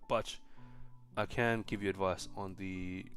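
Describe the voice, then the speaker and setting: Young guy, lowish voice, easygoing streamer chatting to viewers, soft music under. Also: measured pace. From China. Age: young adult. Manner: relaxed, frequent ums and restarts. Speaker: tech live streamer. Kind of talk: casual live stream Q&A.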